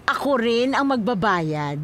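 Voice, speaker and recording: A woman acts out a coy, bossy mistress in a radio-style drama. Her voice is very high and swoops about as she draws her words out slowly and teasingly, over faint background noise. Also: expressive, a bit bright. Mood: playful, coaxing. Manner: coy, drawn-out, giggly teasing. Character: flirtatious, teasing, bossy mistress toying with a policeman.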